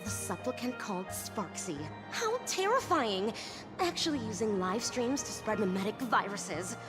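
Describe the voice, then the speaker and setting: A woman's voice: mid-range voice, animated, moderate pace, close to an everyday voice. A woman voicing a gossipy, easily alarmed young townsperson in an anime-style video game, emphatic and dramatic. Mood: shocked, indignant. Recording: music behind the voice.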